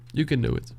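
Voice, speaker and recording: A Dutch man, a native Dutch speaker, talking softly and haltingly, searching for words and sighing as he reflects after a song. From Netherlands. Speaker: beatboxer reacting to music. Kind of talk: reflective reaction talk.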